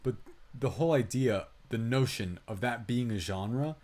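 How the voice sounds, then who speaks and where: A man's voice: mid-range voice, moderate pace, darkish tone, faint music behind. A man co-hosting a podcast, giving opinionated, rambling film commentary in casual conversation. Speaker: podcast co-host and film reviewer. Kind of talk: casual movie review chat.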